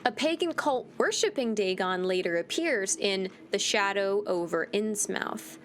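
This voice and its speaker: A woman's voice: medium pitch, unhurried, a little hiss or hum. A woman hosting a gaming video, giving informal playthrough commentary, reading out text and reacting aloud.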